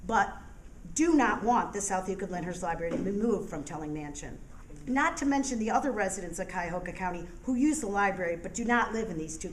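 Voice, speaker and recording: An American woman addresses a meeting as a resident, with a mid-pitched voice and an emphatic, indignant delivery. She sounds like she is reading out a prepared speech. There is some faint room noise around her. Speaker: resident giving public comment at a board meeting. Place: indoor public meeting room.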